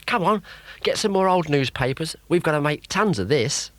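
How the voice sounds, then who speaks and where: A man voicing an excitable, boastful, bumbling inventor with a flustered, stammering manner, for a children's story. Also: on the high side, moderate pace, a little hiss or hum, pitched above the performer's own voice, close to an everyday voice.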